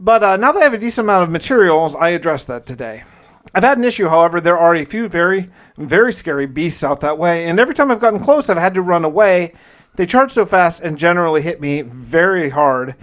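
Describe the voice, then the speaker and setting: A man with a fairly high-pitched voice narrates a survival game as first-person roleplay. He talks casually and confidingly, as if keeping a personal log, using mild everyday exclamations. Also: lively intonation, fast, fairly bright tone. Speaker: gamer narrating his survival challenge.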